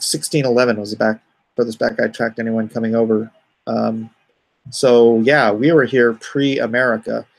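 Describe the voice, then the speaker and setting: A middle-aged man streaming live from home, reading chat aloud and answering it in an easygoing, even-tempered way. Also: medium pitch, creaky, poor audio. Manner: calls himself soothing and even-tempered; reads chat comments aloud and answers them.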